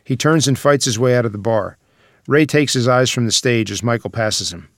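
A man's voice: mid-range voice, moderate pace, no echo, studio quality. A man reading stage directions as scripted screenplay narration.

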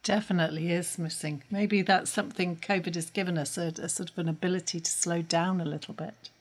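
A woman's voice: on the low side, fairly even intonation, fairly quick. A woman hosting a podcast interview, asking curious questions. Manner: curious, asks short questions. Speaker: host.

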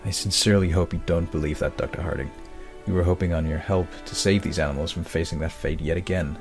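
Man acting as deep-voiced, polite scientist, music behind. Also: steady tone. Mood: concerned, defensive. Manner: explanatory, measured, polite. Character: earnest male genetic scientist defending his dinosaur work. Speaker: actor in an audio drama. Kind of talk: audio drama dialogue.

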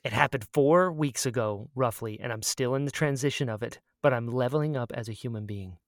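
A man with a mid-pitched voice chats casually as a podcast co-host. He talks in a playful, joking way, and the recording is dry and close. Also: fairly quick, dry recording. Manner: jokey, playful. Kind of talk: casual chat.

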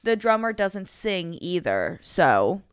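A young woman co-hosting a podcast, telling animated stories and swearing freely in casual chat. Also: fairly low pitch, takes it slowly, a loud crowd. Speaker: podcast co-host.

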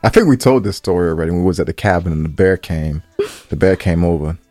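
A man's voice: low voice, fast, a little mellow, no room sound, faint music behind. A man co-hosting a podcast, telling stories casually in slangy speech and repeating phrases for emphasis. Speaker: podcast co-host. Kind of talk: casual banter and storytelling.

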